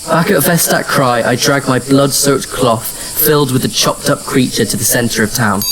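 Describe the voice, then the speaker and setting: A man narrating a scripted audio drama in the first person, with measured, serious storytelling. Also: mid-range voice, a crowd in the background, low-quality recording. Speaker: narrator and lead actor.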